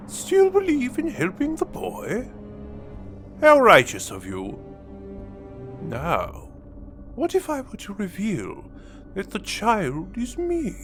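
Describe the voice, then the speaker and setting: A sneering audio drama villain, slow menacing monologue, slightly high voice swinging all over, music under it. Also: male voice, a bit bright, heavily acted. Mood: scornful, then taunting menace.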